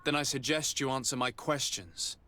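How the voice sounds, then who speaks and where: A man plays a composed investigator in a video game. His mid-pitched voice stays polite and measured in manner while he presses for answers. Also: pushed and bright, lightly acted. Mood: polite, probing. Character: composed, courteous but pressing male investigator.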